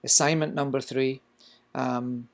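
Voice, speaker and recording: Scottish male cooking instructor, gravelly voice, explanatory lecture delivery, plenty of fillers. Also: mid-range voice, flat delivery, measured pace. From Scotland. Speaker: culinary instructor. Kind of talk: recorded lecture.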